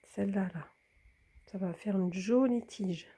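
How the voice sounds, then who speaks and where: A woman's voice: mid-range voice, measured pace, dark tone, breathy edge. A woman with a slight cold talking casually while she handles a hanging plant and films it, often dropping into a whisper. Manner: talks while filming, drops to whispers. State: a bit congested with a cold. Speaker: plant vlogger. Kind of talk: casual hands-on narration.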